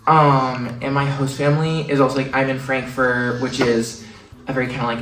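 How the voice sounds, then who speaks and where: Brief: young American student, middle-pitched, hedging and rambling, distant mic in an echoey room. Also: little pitch movement, normal speed, fairly bright tone, soft background music. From New Hampshire. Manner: rambling, hedging with many fillers. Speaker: exchange student. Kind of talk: casual chat.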